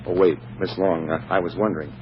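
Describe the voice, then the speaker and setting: A man's voice: sits low, fairly even intonation, a little fast, close to an everyday voice. An actor playing a shy, stuffy bachelor businessman, prim, formal and stammering, in 1940s radio comedy style. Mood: flustered. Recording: muffled, hissy vintage radio recording.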